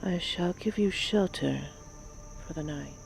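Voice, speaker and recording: A man's voice: high voice, a little slow, gravelly, slight background noise, voice pushed up, poor audio. A man voicing a mysterious, seductive enchantress in an ASMR audio drama, soothing and formal. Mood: soothing, inviting. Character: mysterious tall enchantress, beautiful, seductive hostess. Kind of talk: ASMR roleplay acting.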